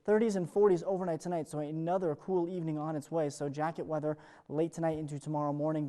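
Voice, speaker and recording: A man's voice: fairly high pitch, warm tone. A man presenting a live morning weather forecast as a television meteorologist, in upbeat, ad-libbed broadcast patter.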